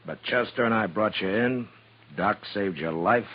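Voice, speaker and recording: Very deep-voiced frontier marshal. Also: male voice, unhurried. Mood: reasoning. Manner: terse, firm, commanding. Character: steady, authoritative frontier marshal, a grown man. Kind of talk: 1950s radio Western acting. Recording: muffled, hissy 1950s radio recording.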